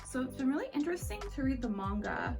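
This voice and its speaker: Woman, slightly high voice, casual reviewer, informal phrasing full of "like", music playing behind. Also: very animated, fast talker. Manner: informal, peppered with "like". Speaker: book reviewer hosting a reading wrap-up vlog. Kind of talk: casual chatty review to camera. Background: background music.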